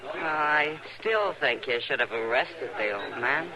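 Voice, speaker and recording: A woman's voice: very deep voice, animated, unhurried, full character voice, rough recording. An actress playing a wry, worldly frontier saloon woman in an old-time radio western, dry and casual. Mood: curious, conversational. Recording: old radio drama with crowd murmur.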